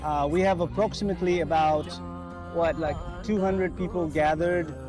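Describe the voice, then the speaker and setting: A man's voice: a little high, fairly even intonation, moderate pace. A man answering a casual interview at an outdoor celebration, hesitant with many fillers. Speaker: event attendee. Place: outdoor festival in park. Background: music and dancing.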